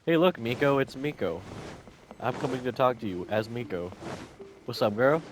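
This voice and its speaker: A man's voice: medium pitch, expressive, moderate pace, background noise. A man giving casual, slangy, joking let's play commentary as a gameplay streamer. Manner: slangy, joking, reacts aloud. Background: game music.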